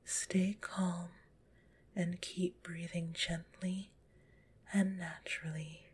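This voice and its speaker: A woman guides a relaxation in a very breathy whisper. Her delivery is slow and gentle, pitched on the low side. She sounds a little stuffed up in the nose, soothing throughout. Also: little pitch movement. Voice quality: stuffy-nosed. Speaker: guided visualization narrator.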